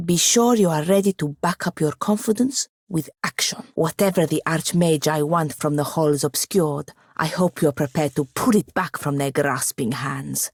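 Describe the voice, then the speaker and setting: A woman's voice: lowish voice, dry recording. A woman playing a proud, sardonic young woman wizard in a video game, speaking formally with dry wit. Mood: wary, accusing. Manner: dry, wry, formal phrasing. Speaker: game companion character. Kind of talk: scripted video game dialogue.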